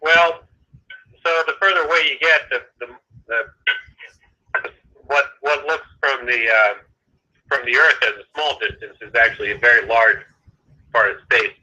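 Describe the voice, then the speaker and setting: A man on a phone call explaining spacecraft details, halting and restarting as he talks. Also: mid-range voice, bright, forceful tone. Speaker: satellite mission staff on a phone call. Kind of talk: phone conversation. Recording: tinny phone line.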